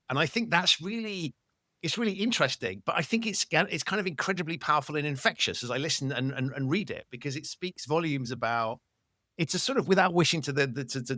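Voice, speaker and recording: A man's voice: a little high, bright, forceful tone, dry recording, studio quality. A man hosting a podcast interview, asking reflective questions and searching for his words. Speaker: podcast host. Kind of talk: interview conversation.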